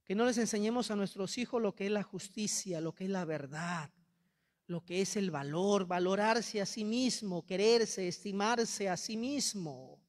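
A man's voice: high-pitched, a little slow. A young Peruvian priest with a Peruvian accent preaching a sermon in a large church, holding a handheld mic, impassioned and reproachful, repeating his phrases. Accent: Peruvian Spanish. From Peru. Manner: impassioned, rhetorical repetition, reproachful. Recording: long church reverb.

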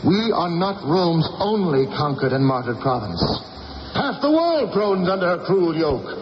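Proud warrior orator, echoing hall. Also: male voice, high voice, very animated, measured pace. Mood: impassioned oratory. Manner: theatrical, rhetorical. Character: passionate ancient British war leader, queen's lover, proud orator. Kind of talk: radio drama acting. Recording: dull, hissy old radio recording, echoey hall.

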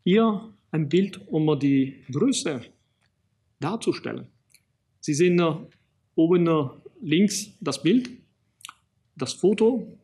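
A middle-aged man from Italy with an Italian accent, giving a public lecture as an archaeologist, pausing often with fillers and restarting sentences. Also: a little high, animated, dark tone, breathy edge. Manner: halting, many fillers and restarts. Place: small studio in front of a banner.

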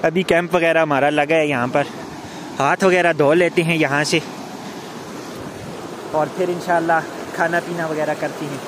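A young man talks straight to his viewers in a relaxed, chatty travel-vlog way, his voice sitting a little high. He holds the camera at arm's length, and loud outdoor noise from the road and open air runs under his words. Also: normal speed, pauses often. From Pakistan. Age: young adult. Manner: chatty, addresses viewers directly. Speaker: vlogger. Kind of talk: casual travel vlog narration. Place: outdoors, in a car and on a street and riverbank. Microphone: phone or camera at arm's length. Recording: noisy outdoor phone recording.

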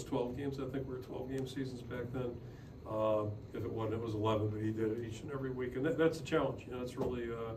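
Older coach at a roomy podium mic. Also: male voice, medium pitch. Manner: steady, plain-spoken, many fillers and restarts. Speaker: head football coach. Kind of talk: postgame press conference answers. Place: press room in front of a logo backdrop. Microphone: podium microphone. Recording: roomy, slightly distant, noisy.

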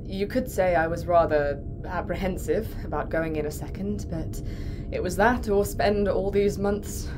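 Actress as mild, lower-voiced woman astronaut. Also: unhurried, noisy background, lightly acted. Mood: reflective. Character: mild, wonder-struck female astronaut medic. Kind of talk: science fiction audio drama acting.

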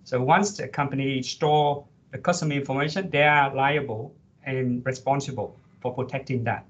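A man's voice: mid-range voice, moderate pace, fairly bright tone. A middle-aged man, a lecturer on a panel, explaining point by point with many fillers over a video call headset. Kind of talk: panel discussion answer. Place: office with headset. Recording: dull lo-fi video call audio.

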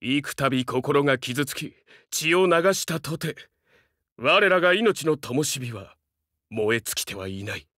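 A young dog-man samurai from a video game, fiercely loyal and earnest. His voice is high for a male and forceful, swinging widely in pitch. He speaks in formal, archaic retainer's language with dramatic fervor. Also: expressive, slow, dry recording, studio quality, exaggerated voice. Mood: solemn, wistful. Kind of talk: Japanese game voice acting, archaic samurai speech.

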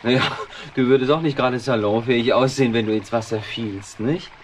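A man's voice: medium pitch, brisk, background noise, natural voice. An actor playing a middle-aged, kindly but flustered male writer in an old radio play, bumbling and talking to himself. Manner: bumbling, talks to himself, lively. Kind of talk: 1970s radio play acting.

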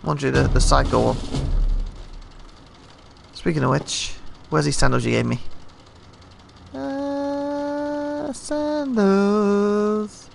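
Gravelly man muttering through a game. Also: high voice, big pitch swings, drawn-out words, long pauses. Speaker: let's play host. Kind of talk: casual gameplay commentary, reading dialogue aloud. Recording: noisy home game recording.

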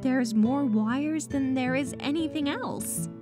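A woman's voice: high-pitched, takes it slowly. A woman playing a nervous, unsure young student girl, hesitant and puzzled, in a cartoon-style audio story. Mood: confused. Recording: guitar music behind.

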